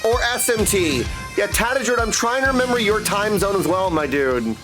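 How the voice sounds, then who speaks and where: High voiced guy streaming, super affectionate hellos to chat, bit of music behind him. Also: expressive, moderate pace. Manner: effusive affectionate greetings, often addressing listeners as dudes. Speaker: live streamer greeting chat. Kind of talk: casual livestream chat with viewers.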